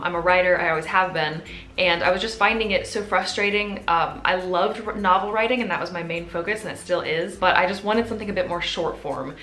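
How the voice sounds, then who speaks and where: A woman in her twenties with a soft, quiet voice, chatting casually to camera as a video host. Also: fairly low pitch. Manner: soft-spoken, chatty with fillers. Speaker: YouTuber. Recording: roomy echo.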